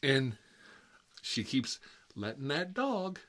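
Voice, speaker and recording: A man's voice: mid-range voice, very animated, slow, fairly bright tone. A man performing a chatty ASMR role-play as a fussy, petty grown man stuck babysitting, rambling on in a gossipy, petulant manner. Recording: clearly noisy recording with clicks.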